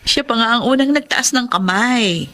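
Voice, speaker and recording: A woman's voice: fairly high pitch, moderate pace. A woman acting a friendly, encouraging schoolteacher, polite in a television drama. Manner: polite, animated, warm encouragement.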